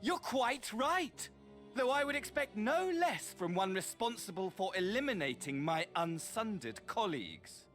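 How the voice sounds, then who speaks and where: A man's voice: high-pitched, sing-song, unhurried, a bit bright, full character voice. An actor playing a gleefully nihilistic villain mastermind in a video game, mocking and grandiose like a mad showman. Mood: smug, theatrical. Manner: grandiose showman, swinging from mocking courtesy to mania. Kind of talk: theatrical video game voice acting. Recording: game audio with music behind.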